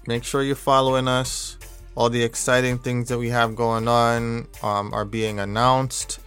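A man hosting a podcast, upbeat and promotional, giving listener shout-outs. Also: mid-range voice, little pitch movement, a little slow, clean tone, faint music behind. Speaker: podcast host. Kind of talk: casual podcast talk.

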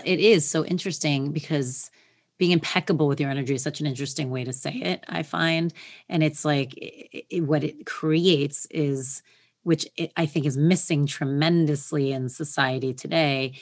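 An animated woman host with a notably low voice. She chats with eager emphasis and fills her sentences with "like". Her talk is casual and excited. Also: moderate pace. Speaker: podcast host. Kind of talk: enthusiastic interview chat.

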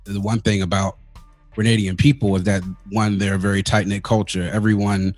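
A man with a fairly low voice tells stories in an easy, laid-back way, dropping casual slang as he goes. The recording is dry and close, with faint music underneath. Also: fairly quick, no room sound. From Washington DC area, United States. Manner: relaxed storytelling, uses slang like "yo" and "big up". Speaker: podcast guest. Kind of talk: casual conversational interview.

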